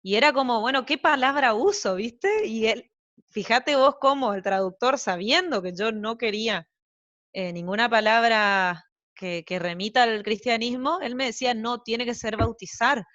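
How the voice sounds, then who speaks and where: A woman's voice: fairly high pitch, a bit bright, no echo, muffled recording. A woman with an Argentine accent, a translator being interviewed, talking in a chatty, rambling way with many fillers and asides. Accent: Argentine Spanish. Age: young adult to middle-aged, mother of young children. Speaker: translator guest. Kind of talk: casual interview chat.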